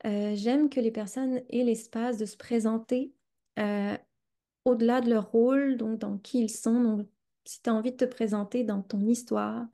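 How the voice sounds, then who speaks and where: A woman in her thirties with a Québécois accent, hosting a reflective podcast interview and speaking slowly, searching for her words with many hesitations. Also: on the high side, fairly even intonation, warm tone. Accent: Québécois French. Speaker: podcast host.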